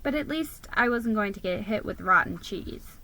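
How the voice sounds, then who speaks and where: A woman's voice: mid-range voice, expressive, moderate pace, pushed and bright, low-quality recording. A woman giving a dramatic scripted reading of a children's story as its narrator. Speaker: storybook reader.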